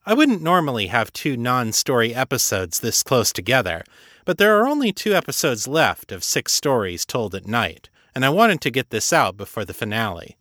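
A man's voice: medium pitch, big pitch swings, moderate pace, sharp tone, dry recording. Dry-recorded man hosting a podcast. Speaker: podcast host. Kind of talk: podcast interviewing and scripted host narration.

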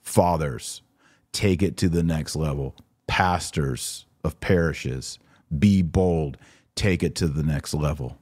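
Male podcast host, low and a touch rough, dry sound, emphatic commentator fielding viewer questions. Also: slow, a little mellow, no echo. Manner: emphatic, repeats short phrases for stress.

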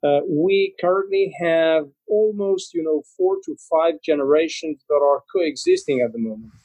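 Spanish-born man, interview guest, slightly high voice, dry close recording, filler-heavy fluent talk. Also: normal speed, dark tone, no echo, rough recording. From Spain. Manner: fluent, frequent "you know" fillers. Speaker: interview guest, trademark association representative. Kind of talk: conversational interview answers.

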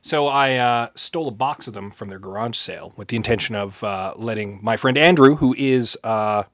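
A man's voice: medium pitch, moderate pace, a bit bright, no room sound. A man co-hosting a podcast in casual chat, speaking in a dry, matter-of-fact way. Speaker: podcast co-host.

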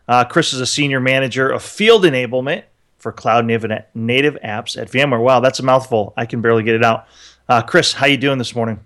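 A man's voice: medium pitch, a little fast, a bit bright. A man co-hosting a tech podcast, chatting casually in an upbeat, joking, rambling way. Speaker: podcast co-host.

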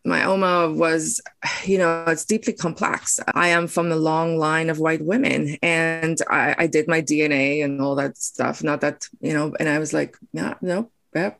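Gravelly-voiced woman from South Africa. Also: sits low. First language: Afrikaans. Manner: thoughtful, searching, many restarts and fillers. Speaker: podcast guest, author. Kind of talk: reflective interview conversation.

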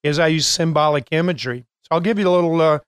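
An older man hosting a coaching podcast, speaking casually with frequent filler pauses. His voice is smooth and clear, set a bit higher than most. The studio sound is dry and close. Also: dry recording. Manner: chatty, many filler pauses. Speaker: host and coach.

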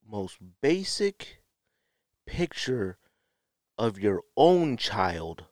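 A man with an American accent giving opinionated solo podcast talk in a blunt, emphatic way, with drawn-out pauses and repeated phrases. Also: mid-range voice, no room sound, studio quality. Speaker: podcast host.

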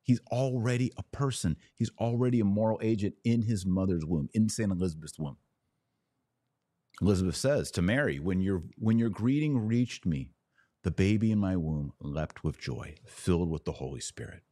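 Deep-voiced man, emphatic religious commentary. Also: expressive, warm tone, no room sound. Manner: emphatic, declamatory, pausing between short phrases. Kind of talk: opinionated religious commentary, preaching monologue.

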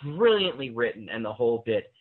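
A man talks casually as an interview guest over a narrow, phone-like connection. His voice sits at a medium pitch, and he rambles along with enthusiasm. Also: very animated, fairly quick, a little hiss or hum. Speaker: guest, audio drama writer and director. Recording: narrow-band phone-like line.

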